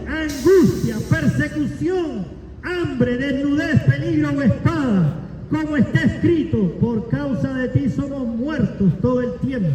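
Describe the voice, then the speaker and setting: High-voiced preacher in an echoey hall. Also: male voice, distant mic, noisy background. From Cuba. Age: 40s. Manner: declamatory, builds up lists and rises to shouts. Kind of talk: sermon with scripture reading and altar call. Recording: echoey, long reverb, from afar.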